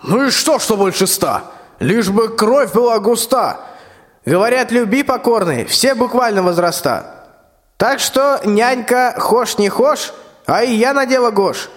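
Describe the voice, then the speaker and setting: Old tsar played by a man: high-pitched, imperious, folksy verse, long hall reverb. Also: lively intonation, unhurried. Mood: indignant, stubborn. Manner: commanding, folksy rhymed speech. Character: vain, bossy, balding very old tsar. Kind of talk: stage-like rhymed radio play.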